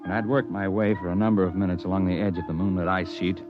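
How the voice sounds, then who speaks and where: Low-voiced rugged mountaineer played by a man. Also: little pitch movement, fast talker, highs cut off, lightly acted. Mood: measured, tense. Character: plainspoken seasoned mountain climber, wry and level-headed narrator. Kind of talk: old-time radio drama narration and dialogue. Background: music.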